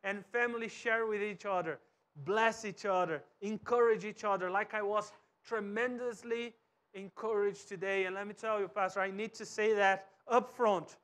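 A Brazilian pastor in his thirties preaches in English with a strong Brazilian Portuguese accent. His voice sits very high for a man. He moves about a church stage, sometimes quiet and sometimes loud. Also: normal speed. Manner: animated, wide gestures, swings from soft to loud. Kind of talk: sermon. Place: church stage with cross backdrop and bookshelves.

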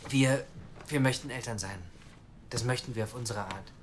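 A man's voice: medium pitch, measured pace, a little hiss or hum, lightly acted. Casting: actor for earnest, persuasive man wanting a child, mid-range voice, natural radio-play delivery, faint background ambience. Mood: earnest, pleading. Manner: eager, talkative, persuasive. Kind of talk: naturalistic audio drama acting.

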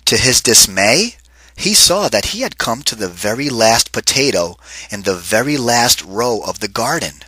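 Lively, husky male storybook reader. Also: medium pitch, measured pace, no echo. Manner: expressive, lively, asks kids questions. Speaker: read-aloud teacher. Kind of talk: children's picture book read-aloud.

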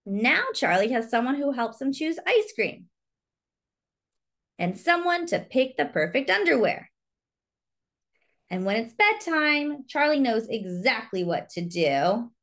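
A woman reading a children's story aloud expressively, with deliberate phrasing and simple explanatory asides to young listeners. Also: on the high side, very animated, fairly bright tone. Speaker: storybook reader and host.

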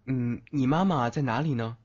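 A man's voice: medium pitch, moderate pace, a little mellow, husky, dull audio, lightly acted. A man acting in an audio drama as a brash but doting, teasing teenage schoolboy. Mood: gentle. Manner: teasing, affectionate. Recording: band-limited, background music throughout.

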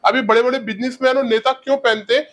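A man's voice: steady tone, fairly bright tone. Young man with a lapel mic. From India. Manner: fast sales pitch. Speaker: shoe seller. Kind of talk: product showcase. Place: shoe showroom.

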